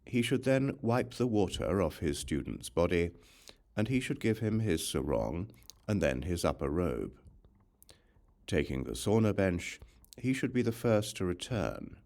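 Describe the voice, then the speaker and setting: A man reading a religious text as an audiobook narrator, in measured, instructional short phrases. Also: sits low, very animated, a little mellow.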